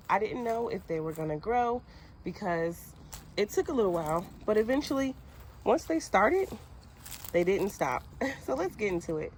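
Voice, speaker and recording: A woman's voice: medium pitch, slight background noise. A woman with a stuffy cold voice giving casual how-to tips in a gardening vlog, chatty and friendly with little laughs and fillers. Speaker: gardening vlogger.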